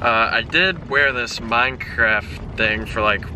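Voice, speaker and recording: A young man talks to a camera he holds at arm's length while riding in a car at night. His voice sits at a middle pitch. He chats nervously and fills gaps with "uh" and "kinda", over loud rumbling road noise. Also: slow pace. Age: young adult. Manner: chatty, a bit nervous, many fillers. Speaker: vlogger. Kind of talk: casual vlog to camera. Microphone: handheld camera at arm's length.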